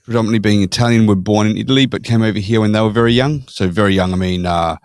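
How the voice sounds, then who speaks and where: A man's voice: lowish voice, rapid-fire, no echo, clean studio sound. An Australian man, a podcast guest, telling his story in an easygoing way and laughing often. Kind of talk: casual interview chat.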